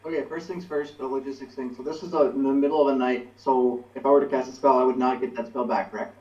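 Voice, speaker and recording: A man's voice: medium pitch, fairly even intonation, fast talker, a little mellow. A man playing a spellcaster in a tabletop game, methodical and checking the rules first. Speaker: player. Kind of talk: casual tabletop play. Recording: distant, dull echoey sound.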